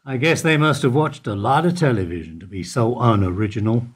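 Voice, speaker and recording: A man's voice: medium pitch, a little fast, slightly rough, close to an everyday voice. A man playing a dry, evasive security guard. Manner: dry, evasive, terse answers. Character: guarded, weary older male bank security guard. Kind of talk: amateur radio play acting.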